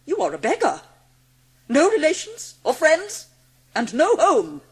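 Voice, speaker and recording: A woman playing a cruel, cold-hearted schoolmistress in an audiobook drama, imperious and scornful, with drawn-out emphasis. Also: high voice, huge pitch swings, heavily acted.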